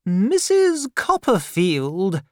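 A woman voicing a stern, brusque older aunt who gives orders in a slow, emphatic manner while reading a storybook aloud. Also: mid-range voice, huge pitch swings, dark tone, no room sound, studio quality, voice pushed up, full character voice. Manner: brisk, bossy, slowed and emphatic.

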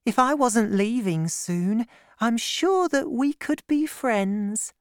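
A woman's voice: a little high, expressive, takes it slowly, dry recording, studio quality, voice pushed up. A woman voicing an eager, trusting young lady. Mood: earnest.

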